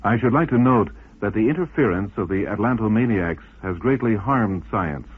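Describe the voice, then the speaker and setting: Male presenter, formal scripted read, lowish raspy-edged voice, muffled lo-fi sound. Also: animated, moderate pace. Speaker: narrator and presenter. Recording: dull lo-fi radio recording.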